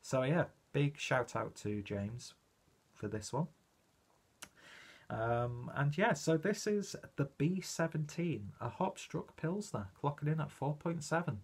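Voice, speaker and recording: A man's voice: fairly high pitch, animated, slow pace, husky. A British man giving a casual, rambling video review, chatty and self-deprecating as he goes. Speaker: beer reviewer presenting own video channel.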